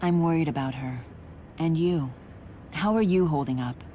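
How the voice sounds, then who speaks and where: A woman playing a resolute, caring young warrior woman in a video game, speaking in a measured, earnest way. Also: low voice, noisy background, natural voice. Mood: earnest, consoling. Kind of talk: dubbed video game cutscene acting.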